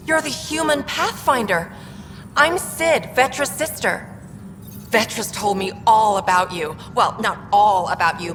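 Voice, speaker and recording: An eager young female alien, acted by a woman in a sci-fi video game. She is bubbly and chatty at a middle pitch. Her voice sits in a long reverb with soft music underneath. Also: close to an everyday voice. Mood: excited. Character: eager, chatty young alien sister, proud comms worker. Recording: reverberant space with music behind.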